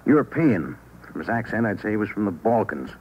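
A man's voice: lowish voice, very animated, brisk. An actor playing an observant, composed American newspaperman in a 1950s radio drama, with clipped, matter-of-fact delivery. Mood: alert, matter-of-fact. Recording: muffled old radio recording, faint noise.

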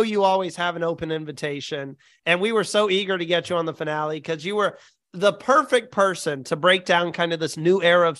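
A man's voice: high-pitched, bright, forceful tone, no echo, clean studio sound. A man hosting a podcast, chatting casually in an upbeat way and laughing often. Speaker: podcast host. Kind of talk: casual chat.

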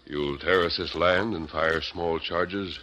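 An actor playing a steady masked male Western hero who speaks calmly and firmly in a 1940s radio drama. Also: deep voice, slow pace, dull audio, full character voice. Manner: calm, firm and commanding.

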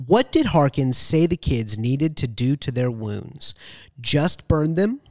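A man's voice: a little high, very animated, slow, darkish tone, dry recording, studio quality. A man hosting a casual podcast quiz, reading out questions and answer choices. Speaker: podcast host, quizmaster.

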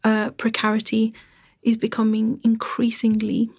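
Breathy woman, fairly high voice, measured and emphatic, not much melody. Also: completely flat delivery, a little mellow. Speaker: historian, guest. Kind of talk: articulate interview answers.

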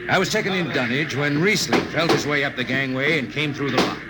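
A man's voice: fairly high pitch, fairly quick, heavy background noise, close to an everyday voice. An American actor playing a seasoned, loyal spaceship jetman who tells his tale in dramatic old-time radio style. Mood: nostalgic, solemn. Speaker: narrator and character. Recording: vintage radio broadcast with music. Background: music.

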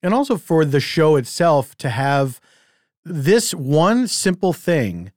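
A man's voice: a little high, very animated, a little mellow, no echo, studio quality. A man co-hosting a podcast in a casual, enthusiastic way, stretching out his phrases. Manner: enthusiastic, drawn-out phrasing with laughs. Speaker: podcast co-host.

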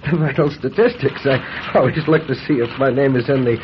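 An actor playing a frail, polite, drowsy old man in a radio drama, speaking haltingly. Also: medium pitch, close to an everyday voice. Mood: gentle, wry. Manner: hesitant, halting, chuckling. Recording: dull lo-fi radio recording, faint noise.

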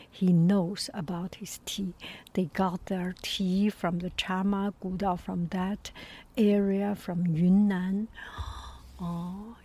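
Brief: woman, whispered storytelling, slow and hushed, mellow breathy tone. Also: mid-range voice. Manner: soft whispering, halting with many pauses.

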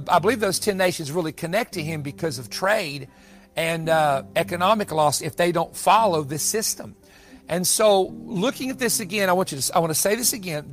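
Man, sixties, high voice, Bible teacher, emphatic sermon delivery, music playing underneath. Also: a bit bright. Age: 60s. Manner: emphatic, repeats phrases for weight.

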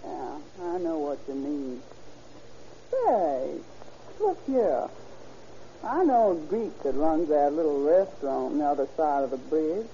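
Down-and-out dame for radio play: very mellow, swooping, slangy drawl, lonely warmth, dull old recording. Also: female voice, sits low, big pitch swings, unhurried, slight background noise. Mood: wry, friendly. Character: weary, lonely, friendly down-and-out young street woman. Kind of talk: old-time radio drama acting.

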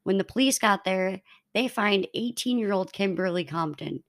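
A woman's voice: mid-range voice, expressive, moderate pace. A young woman co-hosting a casual podcast, telling a story in a matter-of-fact way to her friends. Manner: matter-of-fact, laughs between lines. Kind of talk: reading a case aloud to co-hosts.

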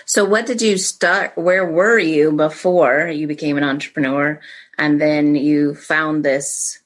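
A woman's voice: deep voice, fairly even intonation, a little slow. A woman hosting an interview, asking the guest questions and encouraging him with frequent short affirmations. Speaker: podcast host.

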